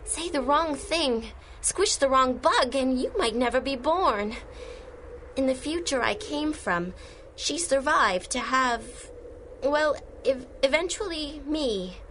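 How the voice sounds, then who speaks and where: An actress playing an earnest, plucky young superheroine from the future in a radio drama. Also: female voice, high-pitched, lively intonation, takes it slowly, fairly bright tone, slight background noise, exaggerated voice. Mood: earnest, urgent.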